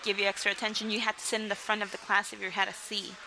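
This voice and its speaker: Young woman from Mexico, interview guest, open and rambling, steady mid-range pitch, noisy recording. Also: racing, creaky. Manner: candid, rambling, frequent "like" and "um", laughs between sentences. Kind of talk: casual interview storytelling.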